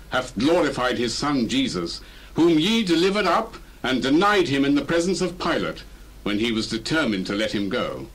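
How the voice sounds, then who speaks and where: A fervent, sweeping apostle preacher. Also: male voice, mid-range voice, a bit bright, poor audio. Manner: solemn, emphatic oratory. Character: Peter, fervent apostle preaching boldly to crowds. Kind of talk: dramatized Bible reading, declamatory.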